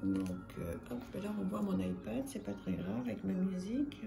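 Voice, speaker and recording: Chatty French-speaking woman, gravelly voice. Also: mid-range voice, huge pitch swings, normal speed. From France. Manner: warm, chatty, many fillers and repeated words. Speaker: tarot channel host. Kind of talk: casual deck presentation. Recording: echoey room with music behind. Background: soft music.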